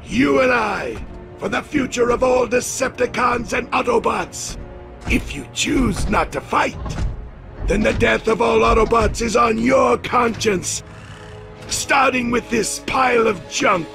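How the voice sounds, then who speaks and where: Gravelly, high-pitched giant robot warlord. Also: male voice, over music, heavily acted. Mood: threatening challenge. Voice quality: gravelly, snarling. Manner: grandiose, taunting, drawn-out delivery. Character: menacing, boastful giant robot warlord villain. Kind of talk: video game cutscene voice acting.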